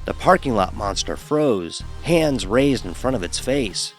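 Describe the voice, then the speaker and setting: A man's voice: medium pitch, expressive, a little slow, soft background music. A man narrating a first-person horror story in a dramatic, wry manner.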